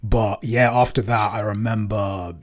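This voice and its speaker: A man co-hosting a casual Q&A chat, answering in a measured, thoughtful way with frequent hesitations. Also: on the low side, steady tone. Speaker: podcast co-host and composer. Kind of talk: casual behind-the-scenes Q&A chat.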